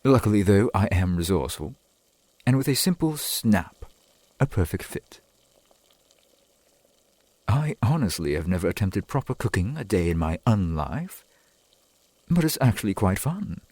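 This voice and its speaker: A man playing a centuries-old vampire boyfriend in an ASMR roleplay, doting and earnest, with halting, old-fashioned formal speech. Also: lowish voice, very animated, darkish tone, no room sound, heavily acted. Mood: eager, earnest. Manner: formal archaic wording, halting, eager to please.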